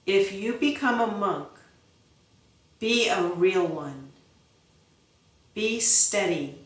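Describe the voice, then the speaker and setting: A woman's voice: medium pitch, distant mic. A woman reading the part of a giant medieval lord, a stern and fervent father who gives solemn, fierce commands in a storybook. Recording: echoey room, from off-mic.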